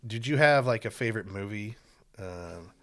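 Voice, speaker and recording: A man's voice: lowish voice, lively intonation, normal speed. A man hosting a casual podcast, chatty and meandering, often laughing as he talks. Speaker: podcast host. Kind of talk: casual chat. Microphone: podcast microphone.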